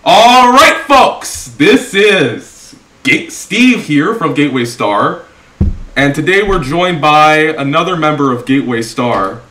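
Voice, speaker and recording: A man in his twenties hosting a reaction video in casual chat, excitable and repeating his phrases. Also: fairly high pitch, slow. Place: small room indoors. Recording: roomy sound, faint noise.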